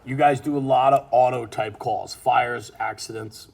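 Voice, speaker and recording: Male interview host, slightly husky mid-range voice, keen and conversational. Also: medium pitch, fairly even intonation, unhurried, a little mellow. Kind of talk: conversational interview about fire apparatus.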